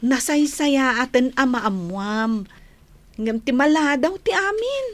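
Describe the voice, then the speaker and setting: A woman's voice: high-pitched, very animated, slow pace, a bit bright, no room sound, exaggerated voice. A woman playing a concerned, gossipy friend in a radio drama, with an Ilocano accent. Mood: concerned, cautious. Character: concerned, gossipy female friend breaking bad news. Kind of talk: Filipino radio drama acting.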